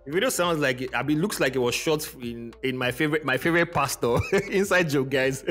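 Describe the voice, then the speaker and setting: A man's voice: mid-range voice, a little fast, a bit bright. A man hosting a casual music review chat, speaking in an informal, slangy, opinionated way. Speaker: music podcast host.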